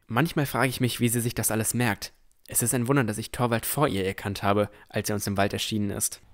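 Young, shy, curious fantasy hero-narrator played by a man, on the low side, measured delivery for an audio drama. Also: steady tone, close to an everyday voice. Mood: wistful. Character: Noel, dreamy young village boy, shy and curious. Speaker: narrator and lead character.

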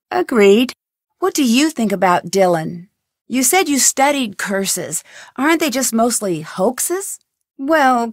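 A woman's voice: medium pitch, no room sound, studio quality. An actress voicing a curious, determined young female amateur detective in a video game, asking earnest questions. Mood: inquisitive. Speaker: game protagonist. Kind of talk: studio video game voice lines.

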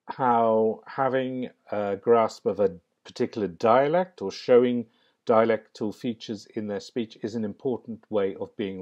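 A man delivers a slide lecture in a low, mellow voice. He speaks in a measured, academic way and often pauses with an um. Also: dull audio. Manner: measured, academic, with frequent ums. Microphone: voice-over on slides.

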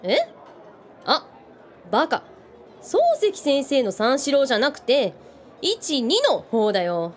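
A tough, bookish woman voiced by an actress holds forth in a comic radio drama. Her fairly high voice swings freely, with blunt, teasing, rough wording. Faint background noise sits behind her. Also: sing-song, slow, long pauses, exaggerated voice.

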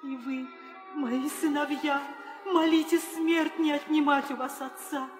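A woman's voice: high-pitched, steady tone, measured pace. An actress playing a despairing royal wife and mother, plaintive and theatrical, in an old audio drama. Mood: urgent pleading. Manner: plaintive, declamatory. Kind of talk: 1960s Soviet theatrical audio drama acting. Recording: echoey old recording with music.

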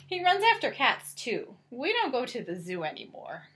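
A middle-aged woman reading a picture book aloud to children as a teacher, expressive and deliberate, with drawn-out emphasis. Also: on the high side. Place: small home bedroom, indoors.

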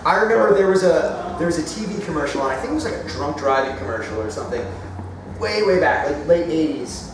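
A man from a band chatting in an interview, halting and repeating words, now and then joking. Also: a little high, lively intonation. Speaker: band member. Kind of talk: casual interview chat. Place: backstage lounge of a music venue. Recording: echoey room from far off, noisy.

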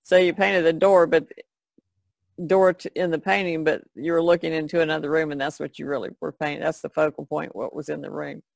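A woman's voice: low voice, flat delivery, fairly quick, gravelly, darkish tone, dry recording. A woman chatting casually as an artist guest on a podcast.

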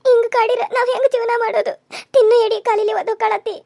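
A woman's voice: extremely high pitch, very fast, no room sound, clean studio sound. A woman voicing a despairing, self-blaming young widowed mother in a family melodrama, with a North Karnataka Kannada accent. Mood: aggrieved.

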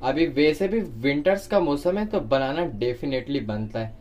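A man's voice: mid-range voice, normal speed, a little mellow. A man giving a step-by-step cooking tutorial as a home cook, instructing viewers directly. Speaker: home cook presenting a recipe.

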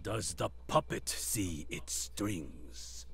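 An actor playing a sinister male video game villain with a rough, husky voice. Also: sits low, animated, very slow, heavily acted. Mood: menacing.

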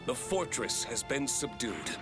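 A man's voice: mid-range voice, sing-song, measured pace, over loud music. A man voicing a dutiful knight in a video game, formal, as if reporting.

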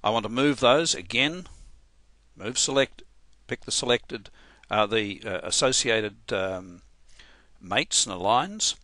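Halting Australian man, bright dry tone. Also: fairly low pitch, lively intonation, no room sound. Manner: casual, halting, many fillers and restarts. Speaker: software trainer. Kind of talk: screen-recorded software tutorial.

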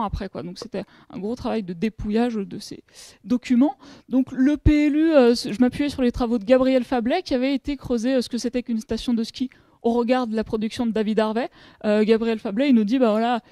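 A woman's voice: fairly high pitch, mellow, no room sound. A woman in her thirties giving a conference talk at a panel table, explaining with frequent hesitations and restarts. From France. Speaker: academic conference speaker. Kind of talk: conference presentation.

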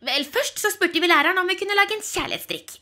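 En kvinne som spiller en impulsiv, pratsom og lett indignert tenåringsheks i en animert tegneseriedubbing. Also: female voice, very high-pitched, expressive, sharp tone, full character voice. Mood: chatty, indignant. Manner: impulsive, chatty, protesting. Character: excitable, indignant teenage witch schoolgirl. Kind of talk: animated cartoon fandub. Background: music.

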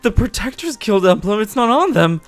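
A man's voice: high-pitched, big pitch swings, normal speed. A man voicing a naive, suspicious and loyal tiger creature in improvised game roleplay. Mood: doubtful. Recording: background music under voice.

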